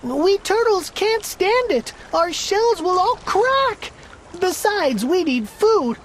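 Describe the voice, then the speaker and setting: A woman's voice: very high-pitched, big pitch swings, takes it slowly, slight background noise, pitched above the performer's own voice, heavily acted. A woman voicing a meek, plain little male turtle who politely pleads about his suffering. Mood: pleading.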